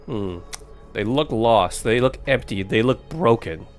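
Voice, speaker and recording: A man's voice: medium pitch, animated, measured pace, soft background music. A man streaming a horror visual novel, joking sarcastically back at the game. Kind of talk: let's play commentary.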